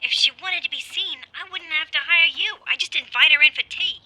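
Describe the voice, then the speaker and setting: Very shrill, impatient nagging wife. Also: female voice, brisk, bright, forceful tone, low-quality recording. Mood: impatient, indignant. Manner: pushy, chirping complaints. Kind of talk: film noir radio play acting.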